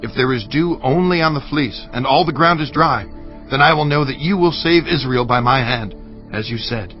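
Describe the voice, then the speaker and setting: A man's voice: mid-range voice, moderate pace, natural voice. An actor playing a humble, hesitant man praying to God, earnest and pleading, in an audio Bible drama. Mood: earnest, uncertain. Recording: muffled audio under music.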